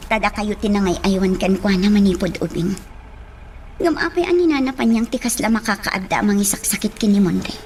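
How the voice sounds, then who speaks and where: A woman's voice: medium pitch, darkish tone, a little hiss or hum, natural voice. A woman playing an earnest, plaintive young woman in an Ilocano radio drama. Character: earnest, troubled young woman defending her sweetheart.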